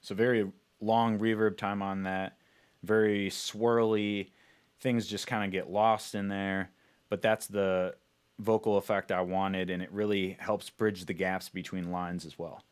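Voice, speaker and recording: A man's voice: on the low side, little pitch movement, a little slow, smooth. A man talking casually as he explains his music production work in an informal, instructional way. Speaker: music producer presenting a tutorial.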